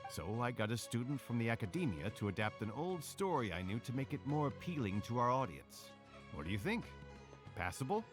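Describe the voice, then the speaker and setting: An actor voicing an earnest, apologetic stage performer in a video game, friendly and self-deprecating. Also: male voice, medium pitch, big pitch swings, a little fast, music in the background, close to an everyday voice. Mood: modest, friendly. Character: earnest, apologetic, struggling theater actor man, modest and friendly.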